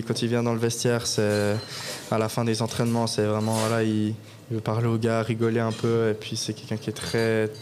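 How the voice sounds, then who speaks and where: A young man answers questions at a live podcast taping in a bar, his voice sitting at an average pitch. He speaks in a flat, level tone and stops often to gather his thoughts. A faint hum of room noise sits behind him. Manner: even, unhurried answers with frequent pauses to think. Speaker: guest hockey player. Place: bar, live audience event.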